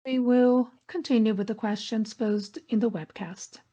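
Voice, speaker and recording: Through slightly dull, lo-fi conference audio comes a woman with a fairly high voice and a soft, mellow tone. She interprets a business earnings call phrase by phrase, in a measured way with pauses inside sentences. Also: fairly even intonation.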